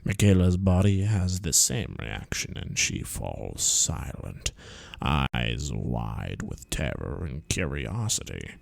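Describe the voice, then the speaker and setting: A man's voice: extremely low pitch, big pitch swings, slow pace, gravelly, no echo. A man reading a visual novel aloud to friends, playful and slipping in whispered joke asides. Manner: playful, drops whispered running-gag asides.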